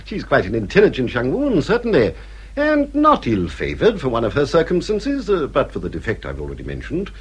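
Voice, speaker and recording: An actor playing a vain, snobbish older English father in a period radio drama, with pompous, hesitant speech and drawn-out exclamations. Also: medium pitch, huge pitch swings, husky. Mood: fussy, flattered.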